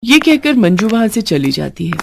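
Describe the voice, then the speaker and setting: Woman telling a family story, scripted narration, mid-pitched voice. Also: sing-song, moderate pace, vocal fry, a little hiss or hum.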